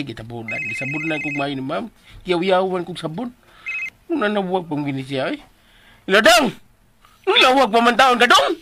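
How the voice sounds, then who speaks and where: An actor in a Visayan Filipino radio drama playing an excitable, clumsy household helper in a whiny, exclaiming comic manner. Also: mid-range voice, background noise. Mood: grumbling.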